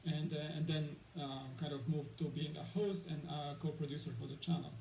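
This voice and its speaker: A man's voice: fairly high pitch, steady tone, normal speed, vocal fry, a little mellow. A man in his thirties from Poland, a guest in a casual interview, rambling with many fillers and restarts. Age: 30s. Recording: echoey room with faint noise.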